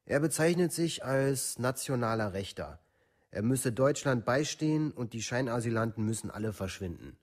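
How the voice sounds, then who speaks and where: An actor playing a troubled village youth from a far-right scene in a radio play, speaking colloquially in short clipped phrases. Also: male voice, mid-range voice, lively intonation, moderate pace, close to an everyday voice. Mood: matter-of-fact. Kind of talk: documentary radio play monologue.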